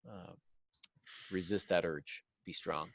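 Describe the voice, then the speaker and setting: A man hosting a casual podcast discussion, giving writing advice in halting phrases and repeating points for emphasis. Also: deep voice, fairly even intonation, creaky, dry recording. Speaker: podcast host and fiction writer.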